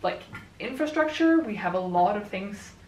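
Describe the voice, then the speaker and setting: A woman's voice: medium pitch, expressive, normal speed. Swedish woman chatting in an echoey room. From Sweden. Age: 20s. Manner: chatty, rambling, laughs often. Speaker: book vlogger. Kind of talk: casual chat while assembling furniture. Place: room at home. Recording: echoey room, camera at some distance.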